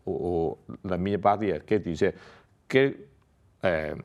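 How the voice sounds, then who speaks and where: A man speaking haltingly as a non-native speaker, full of pauses and restarts, as a candidate in a televised political debate. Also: low-pitched, highs cut off. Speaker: election candidate. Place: television studio.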